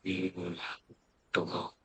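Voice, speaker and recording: Deep, slow-spoken man commenting on gameplay. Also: monotone, slightly rough, no echo. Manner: hesitant, halting, musing. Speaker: video game streamer.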